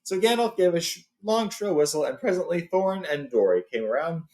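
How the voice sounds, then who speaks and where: A man hosting a read-along, telling and reading a story in an informal, expressive way. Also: fairly high pitch, brisk. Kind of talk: storybook reading with casual retelling.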